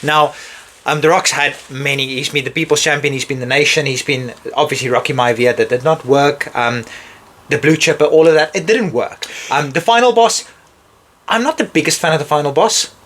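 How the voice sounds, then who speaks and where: A young man hosting a laid-back chat, his voice sitting a little high. He talks playfully and chattily, often cutting himself off mid-sentence and starting again. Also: a little fast, fairly bright tone. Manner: chatty, playful, self-interrupting. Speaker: host. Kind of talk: casual chat.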